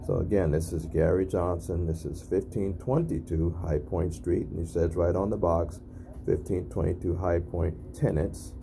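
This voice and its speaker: A very low-pitched man with a gravelly, fry-heavy voice talks casually to camera as he demonstrates equipment on site. His delivery is matter-of-fact and repetitive, and the handheld recording carries clear ambient noise. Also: steady tone, moderate pace. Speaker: narrator documenting an intercom test. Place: at a building's entry intercom panel.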